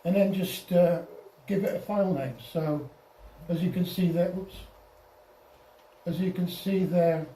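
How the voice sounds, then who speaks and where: Man, informal tutorial presenter, halting restarts, roomy echo, distant mic with faint noise. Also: sits high, dark tone. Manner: halting, repeats and restarts phrases. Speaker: presenter at an amateur radio club. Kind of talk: informal software tutorial over slides.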